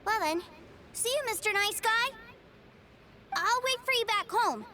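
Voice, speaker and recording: A woman's voice: very high voice, expressive, unhurried, pushed and bright, slight background noise, heavily acted. A woman voicing an innocent, cheerful little boy in a video game, a doting younger brother. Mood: earnest, cheerful.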